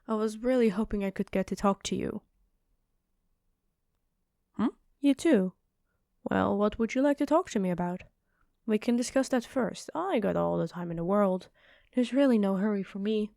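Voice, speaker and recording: A woman playing a gentle, earnest giant who speaks politely and apologetically in a fantasy audio roleplay. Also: mid-range voice, moderate pace, vocal fry, pauses often, no echo, studio quality, lightly acted. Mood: grateful, relaxed.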